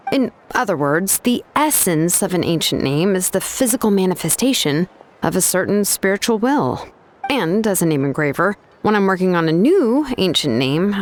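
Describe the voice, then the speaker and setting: A woman doing an easygoing craftswoman who tells stories in a chatty way, with casual fillers between thoughts. Her voice sits in a mid pitch but moves expressively up and down. The delivery is polished video game voice acting. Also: slight background noise, lightly acted. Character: easygoing female artisan and name engraver, chatty.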